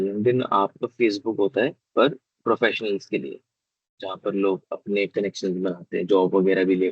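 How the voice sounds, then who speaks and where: A hoarse man teaches a live online class in a very low, husky voice with a gravelly edge. He explains things step by step and keeps checking that his students are following. The recording is dry and dull, with a lo-fi sound. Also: dry recording.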